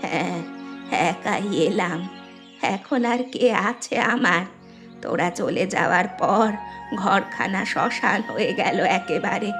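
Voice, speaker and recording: A woman voicing an elderly village mother-in-law in a cartoon, weary and humbled, with a slow, plaintive, confessional way of talking. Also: mid-range voice, animated, fairly bright tone, soft background music. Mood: remorseful. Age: older. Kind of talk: Bengali moral cartoon dialogue.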